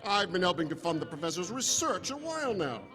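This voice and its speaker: A man playing a fussy, self-important 1920s Boston gentleman, pompous and obsequious, in a stage radio play. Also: high voice, sing-song, fairly quick. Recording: reverberant hall, music behind.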